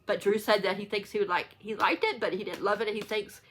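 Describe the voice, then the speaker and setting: A woman's voice: medium pitch, steady tone, fast, a bit bright, slight background noise. A chatty woman book vlogger talking casually and unscripted to viewers, rambling and correcting herself as she goes. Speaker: book vlogger hosting a read-along.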